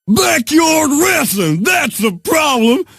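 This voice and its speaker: Whiny blue-collar dude for a video game, slow talker, voice swoops all over, dry. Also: male voice, very high-pitched, big pitch swings, creaky, fairly bright tone, no echo, raised voice, faint music behind, full character voice. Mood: aggrieved, angry. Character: aggrieved blue-collar pickle factory worker, talk show guest.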